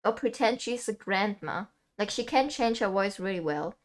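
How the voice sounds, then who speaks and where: A woman's voice: medium pitch, expressive, moderate pace. A young woman from Germany, chatting casually and laughing often while streaming a game.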